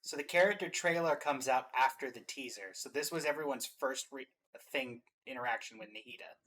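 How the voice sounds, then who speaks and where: Casual man hosting, mid-pitched voice. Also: brisk, fairly bright tone, low-quality recording. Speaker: host of a reaction show. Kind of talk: casual reaction commentary.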